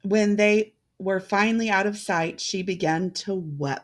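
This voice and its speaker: A woman narrating a fairytale in a measured, deliberate way, with a gravelly, creaky voice. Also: mid-range voice. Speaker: storyteller.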